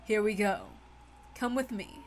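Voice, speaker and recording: A woman voicing an elegant female witch who instructs in a measured, lofty manner. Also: mid-range voice, a little hiss or hum, pitched below the performer's own voice, natural voice. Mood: lofty, teasing.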